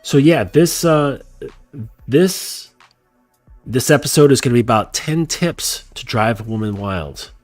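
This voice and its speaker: A man's voice: mid-range voice, expressive, unhurried, no room sound, faint music behind. A man hosting his show while congested from a cold, talking nasally in a rambling casual way with lots of fillers and restarts. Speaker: podcast host. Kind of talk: casual chat.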